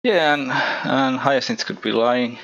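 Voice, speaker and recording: A man's voice: mid-range voice, takes it slowly, a bit bright, lo-fi sound. A man hosting a podcast, chatting casually in a laid-back way and laughing between his words. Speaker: podcast host. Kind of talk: casual chat.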